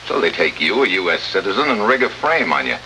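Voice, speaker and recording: An actor playing a tough, sardonic American special agent in a 1950s radio drama, with a wry, clipped delivery. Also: male voice, low voice, sing-song, fast talker, pushed and bright, heavily acted. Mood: brisk, suspicious. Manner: hard-boiled, wry, clipped. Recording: hissy, noisy old radio recording.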